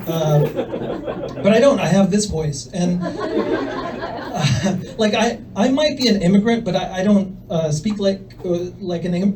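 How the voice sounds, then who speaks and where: Soft high-ish voice, young guy from el salvador, slow deadpan standup, kinda far from the mic. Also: slight background noise. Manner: hesitant, filler-heavy deadpan delivery. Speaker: stand-up comedian. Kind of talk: stand-up set. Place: comedy club.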